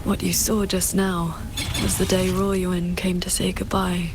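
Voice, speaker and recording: A woman playing a solemn, composed warrior in a game, with a measured, grave and softly breathy delivery. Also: on the low side, little pitch movement, over music, lightly acted. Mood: sorrowful, restrained. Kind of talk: dramatic game voice acting.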